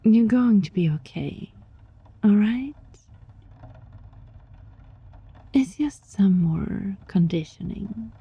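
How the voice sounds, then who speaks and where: A woman voicing a sly, teasing, controlling yet gentle fox-spirit roommate in an ASMR roleplay, with a breathy voice that often drops into a whisper. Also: mid-range voice, lively intonation, no room sound, highs cut off, heavily acted. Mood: reassuring, coaxing. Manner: slow, teasing, softly menacing. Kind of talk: ASMR roleplay, scripted monologue addressed to listener.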